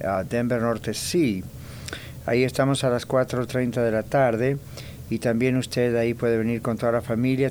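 A man, a pastor hosting a church radio talk show, giving announcements in an inviting way and repeating details slowly. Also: mid-range voice, a little mellow, slight background noise.

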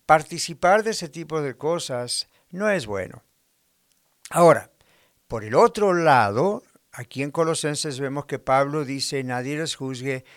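A man's voice: a little high, no room sound, clean studio sound. A man from Argentina preaching a sermon in a conversational way, full of rhetorical questions and imagined dialogue. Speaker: pastor.

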